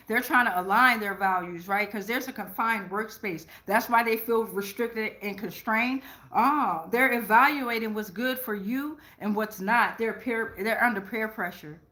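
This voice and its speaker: A woman's voice: on the high side, unhurried, sharp tone, poor audio. A woman giving a casual, rambling tarot reading, blunt and profane, full of slang and checking in with "right?". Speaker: tarot reader and channeler.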